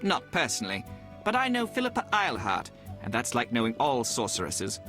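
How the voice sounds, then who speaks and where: Proud royal heir, lively intonation. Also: male voice, medium pitch, moderate pace, faint music behind. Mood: shrewd, self-assured. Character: proud, shrewd young royal heir, calculating and formal. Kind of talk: video game dialogue acting.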